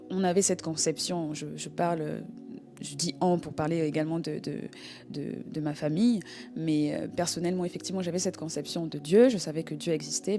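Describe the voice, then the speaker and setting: Woman with lowish voice, haltingly sharing testimony. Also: warm tone. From Congo. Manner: halting, searching for words, repeating herself. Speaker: guest giving a testimony.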